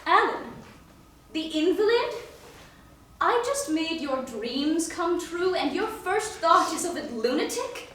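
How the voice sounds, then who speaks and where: A woman's voice: very high-pitched, sing-song, slow pace, a little hiss or hum, full character voice. A woman playing a domineering, status-obsessed young society fiancée in stage musical theatre, with a grand, bossy, theatrical delivery. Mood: disdainful. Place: staged reading before a live audience. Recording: echoey live stage, distant mic, loud music.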